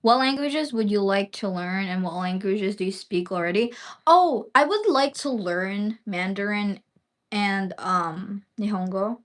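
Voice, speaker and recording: A woman's voice: medium pitch, a little slow. Rambling woman livestreamer. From Philippines. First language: Bisaya. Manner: rambling, filler-heavy, swears casually. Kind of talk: casual livestream chat.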